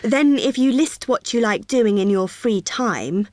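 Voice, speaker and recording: An actress playing a friendly student union receptionist who explains a form patiently in a scripted exam dialogue. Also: female voice, a little high, expressive, a little slow, darkish tone, no room sound, clean studio sound. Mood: helpful. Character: cheerful young female union helper.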